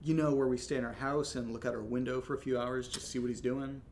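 Clear hiss and rumble sit under a man playing a wary, guilt-ridden friend in an audio drama. His mid-pitched voice is creaky and naturalistic, like someone who holds things in. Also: little pitch movement, fast, a little mellow, natural voice. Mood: wary, sarcastic.

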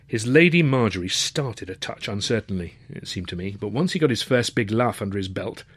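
A man's voice: on the low side, animated, fairly quick, breathy edge, dry recording. A man narrating a comic novel in the first person, with wry, literary phrasing. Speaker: author and narrator.

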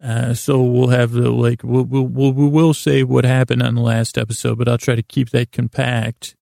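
A man's voice: level tone, normal speed, mellow, dry recording, studio quality. A man hosting a bedtime podcast in a rambling, drowsy drone, stammering and circling through repeated false starts. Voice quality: gravelly, creaky. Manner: drowsy, droning, low-key. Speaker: podcast host.

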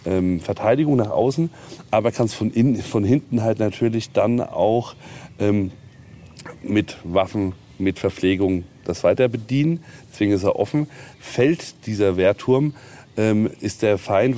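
A man's voice: on the low side, dark tone. A man guiding an informal castle tour outdoors, explaining history in a hesitant way with many ums. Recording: noisy outdoor location recording.

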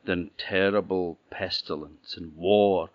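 A man's voice: sits low, steady tone, takes it slowly, dry recording. A man voicing a wise young princess who speaks solemnly and pleadingly, in a storybook telling. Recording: dull lo-fi recording.

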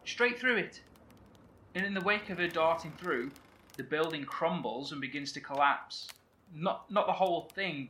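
An actor playing a man survivor in an audio horror drama, telling a story in a vivid, animated way. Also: on the high side, a little hiss or hum. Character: man survivor describing a strange void. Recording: roomy echo, faint ambience.

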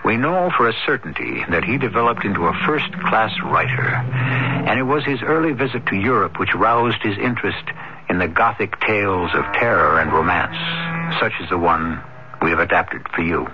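A man hosting a radio drama, narrating a scripted introduction in a measured, literary manner. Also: fairly low pitch, animated, background noise. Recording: muffled old radio broadcast.

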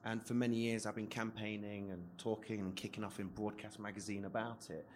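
A man with a fairly low voice speaks earnestly on a live panel. He talks at length with many fillers, and a faint hum sits behind him. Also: little pitch movement, fairly quick. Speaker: panellist writer. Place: event venue, live audience.

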